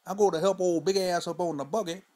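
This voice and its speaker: A man's voice: high voice, steady tone, fast talker, a little mellow, close to an everyday voice. A man playing an older, crude storyteller who speaks in a loose, profane, rambling drawl and keeps asking "you know" and "see?". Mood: wry. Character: crude old Southern man spinning tall tales. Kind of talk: stand-up character monologue.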